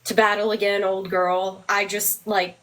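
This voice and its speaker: A woman's voice: mid-range voice, level tone, measured pace, a bit bright. A woman in her thirties co-hosting a live video call chat, telling her reactions emphatically. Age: 30s. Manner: emphatic storyteller. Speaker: podcast co-host.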